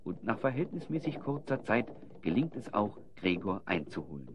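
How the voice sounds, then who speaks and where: A man's voice: lowish voice, big pitch swings, normal speed, background noise. A man narrating an adventure audio play as a vivid, scripted storyteller. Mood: suspenseful.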